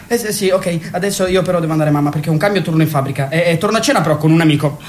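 A man's voice: fairly high pitch, a little hiss or hum, close to an everyday voice. An actor playing a naive, stubborn young male factory worker who talks bluntly and stumbles over his words. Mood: impatient. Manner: blunt and stammering, rushes words. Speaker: radio drama actor. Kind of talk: radio play acting.

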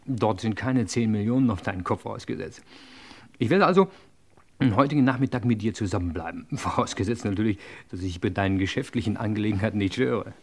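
A man's voice: on the low side, a little fast. An actor playing a chummy, nervously loyal henchman in an old German crime radio play. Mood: eager, placating. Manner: chummy, chuckling, protesting. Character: eager, ingratiating gangster henchman, nervously loyal to his boss.

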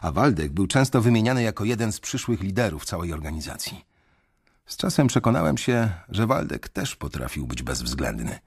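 Husky low-voiced male radio narrator. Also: big pitch swings. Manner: measured, brooding first-person telling. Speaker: narrator and main character.

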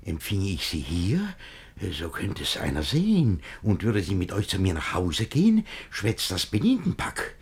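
Husky, blustering older bourgeois schemer. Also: male voice, low-pitched, wildly swooping pitch, normal speed, full character voice. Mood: furtive, scheming. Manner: blustering, agitated, exclamatory. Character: scheming, anxious older bourgeois man in a classic comedy. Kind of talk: theatrical German radio play in rhymed verse.

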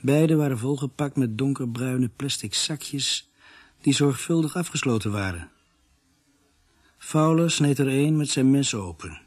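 A sober narrator in a radio drama, recounting events he took part in. His voice is husky and mid-pitched, with a measured, factual delivery. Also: a little mellow. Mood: matter-of-fact. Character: sober male narrator recounting a police search he joined. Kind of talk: scripted radio play narration.

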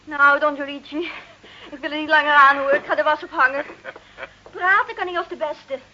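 Fed-up homesick wife, high piercing voice, scornful nagging, old radio play, bit of noise. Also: female voice, fairly even intonation, normal speed, pushed and bright. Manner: scornful, complaining. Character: discontented, nagging bricklayer's wife, homesick for Switzerland. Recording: dull, noisy old radio recording.

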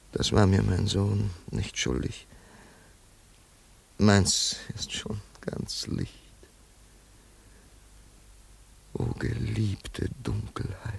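An actor playing a wealthy, grieving older father in a German radio play, brooding and yearning in a halting monologue. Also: on the low side, vocal fry, slightly rough, heavily acted. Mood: tender, mournful. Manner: slow, halting, brooding delivery with sudden swells.

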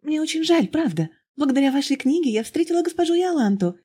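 Storybook role: narrator plays polite but indignant young woman, raised pitch, emphatic delivery. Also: very animated, fast, warm tone. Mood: earnest, agitated. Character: excitable, earnest young woman recounting her grievances.

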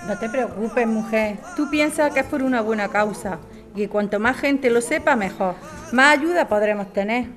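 A woman's voice: a little high, normal speed, soft background music, natural voice. A woman playing an affectionate, lonely older mother in a naturalistic audio drama. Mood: cheerful, reassuring.

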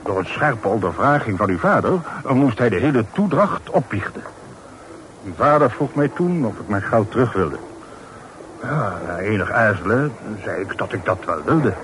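A man's voice: on the low side, expressive, moderate pace, natural voice. An actor in a Dutch radio play, playing an earnest, deferential townsman who recounts things formally and politely. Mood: earnest, indignant. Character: earnest, deferential townsman reporting troubling news to a gentleman. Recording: noisy old radio play recording.

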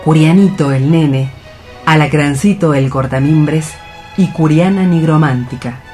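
A man's voice: a little high, measured pace, darkish tone. A man narrating a radio play, reading out scene headings and stage directions. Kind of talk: scripted radio theatre narration. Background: music.

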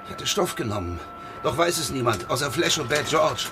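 Urgent desperate ex-cop, noisy backdrop. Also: male voice, mid-range voice, sing-song, normal speed, gravelly. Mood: urgent, grim. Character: desperate Dutch ex-policeman in trouble, urgent, pressing. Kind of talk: German radio crime drama acting.

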